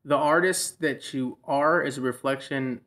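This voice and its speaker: A man's voice: medium pitch, unhurried, fairly bright tone. A young man hosting a casual garage-studio interview, joking and encouraging in slangy talk. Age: young adult. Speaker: host. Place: garage studio with leather couch. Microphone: studio mic on stand.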